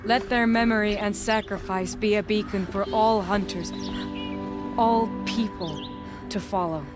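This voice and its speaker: A proud young huntress and leader from a video game, played by an actress. She speaks at a middling pitch, with resolute, formal, measured phrasing. Music plays behind her. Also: fairly even intonation, lightly acted. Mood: solemn, reverent. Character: proud, determined young woman hunter and new lodge leader. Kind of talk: dramatic video game acting.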